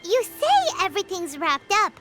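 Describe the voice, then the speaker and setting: A woman voicing a small, excitable, childlike fairy companion with a squeaky voice in an anime game dub. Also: moderate pace, exaggerated voice. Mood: concerned, insistent. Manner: exclamatory, refers to self by name. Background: music.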